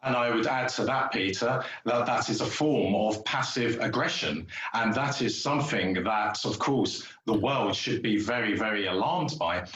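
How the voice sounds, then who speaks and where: A man giving political commentary as a panelist in formal, deliberate phrases. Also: on the low side, a bit bright, highs cut off. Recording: echoey room.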